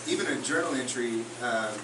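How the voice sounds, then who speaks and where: A man, a songwriter on a panel, thinking out loud onstage as he searches for words. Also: medium pitch, a little fast. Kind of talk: casual onstage discussion. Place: concert house, live in front of an audience. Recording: roomy live hall, distant, noisy.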